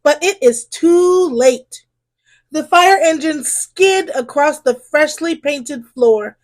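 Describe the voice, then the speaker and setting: A woman reading a children's story aloud in an expressive way, drawing out key words for emphasis. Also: high-pitched. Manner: animated, drawn-out emphasis on exclamations and key phrases.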